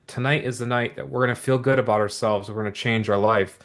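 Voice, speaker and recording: A man presenting a business opportunity in an upbeat, motivational pitch to livestream viewers, talking with lots of repetition and quick asides. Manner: energetic, repetitive motivational patter with many asides.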